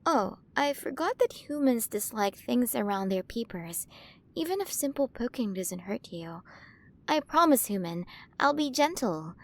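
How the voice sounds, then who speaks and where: Woman doing a giant alien queen for asmr rp, highish voice, cooing and fascinated, kinda possessive of her little human. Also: sing-song, measured pace. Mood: gentle, coaxing. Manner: cooing, fascinated, quaintly mispronouncing human words. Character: towering alien queen, doting, curious, possessive of tiny humans. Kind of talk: ASMR roleplay, addressing the listener.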